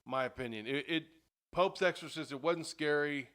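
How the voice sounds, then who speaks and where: A young man hosting a podcast in casual chat, blunt and profane, joking and tossing out quick putdowns. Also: on the high side, a bit bright, clean tone. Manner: blunt, profane, joking, reads a summary aloud. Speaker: podcast host.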